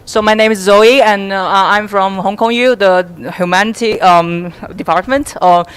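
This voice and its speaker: A woman from mainland China, putting an earnest, halting question from the floor at a conference. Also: medium pitch, steady tone, smooth.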